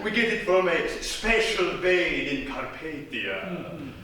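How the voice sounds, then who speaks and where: A man's voice: a little high, expressive, slow pace, bright, forceful tone, heavily acted. A man playing a suave Transylvanian vampire count with a theatrical accent in a live comic radio play. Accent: put-on Transylvanian. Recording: echoey theatre, far from mic, noisy.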